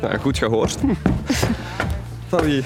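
A man's voice: on the high side, sing-song, slow pace, heavily acted. A man acting a charming, slightly shy young man in a natural, hesitant and joking audio drama style. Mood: wry. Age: young adult. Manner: hesitant, wry, conversational. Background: music.

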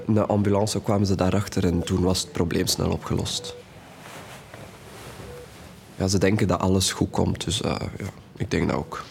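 A man's voice: sits low, creaky, a little mellow, a little hiss or hum. An actor playing a grieving, candid young man telling of his troubled past in an audio drama. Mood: light, hesitant. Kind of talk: audio drama acting, hesitant storytelling.

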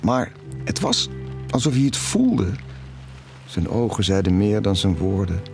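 A conflicted middle-aged father in an audio drama. His voice sits low and he speaks in a confiding, hesitant, reflective way. Faint music plays behind him. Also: very animated, measured pace, gravelly, a little mellow, full character voice. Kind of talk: intimate naturalistic audio drama dialogue.

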